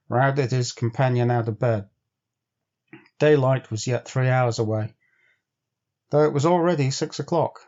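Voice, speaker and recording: A man reading a dramatic adventure story as a measured, theatrical storyteller. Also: medium pitch, warm tone, highs cut off. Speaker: storyteller, actor. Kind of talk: dramatic audiobook narration.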